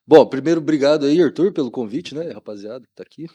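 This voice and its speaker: A man's voice: mid-range voice, lively intonation, fast, warm tone, breathy edge, dry recording, dull audio. A middle-aged Brazilian man chatting informally as a podcast guest, using lots of colloquial tags. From Maringá, Brazil. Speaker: guest, doctor. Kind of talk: casual podcast interview talk.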